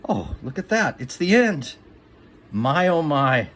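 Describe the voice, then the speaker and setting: An older man reading a rhyming picture book aloud to a young child, in an expressive, deliberate, sing-song way. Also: a little high. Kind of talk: expressive storybook narration.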